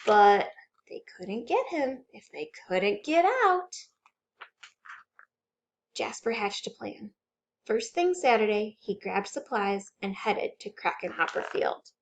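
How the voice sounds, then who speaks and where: Slow, very animated woman reading a children's story. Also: mid-range voice, muffled recording, a little hiss or hum. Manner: expressive, dramatic with gasps and hushed suspense.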